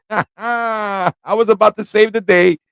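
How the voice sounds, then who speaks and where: A man's voice: sits high, steady tone, slow pace, creaky, a bit bright, clean tone, no echo. A man hosting a casual livestream, informal and playful as he thinks aloud and chats with viewers. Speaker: livestream host playing an interactive story.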